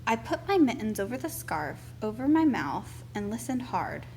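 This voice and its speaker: A woman reading a children's picture book aloud as expressive, deliberate storytelling. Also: on the high side, vocal fry, soft background music, poor audio.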